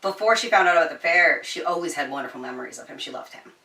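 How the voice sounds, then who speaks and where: Bright-voiced casual woman in an echoey room. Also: deep voice, very fast, distant mic. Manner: informal and slangy with frequent swearing; animated storyteller, voicing quoted dialogue. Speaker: book reviewer. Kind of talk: casual chatty book recap. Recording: echoey room, somewhat off the mic.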